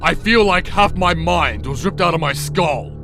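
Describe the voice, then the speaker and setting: A man playing an enraged, wounded beast villain in an animated fan dub, snarling and shouting. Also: on the high side, moderate pace, gravelly. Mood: pained rage. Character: enraged, wounded villain beast robbed of half his mind. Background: music.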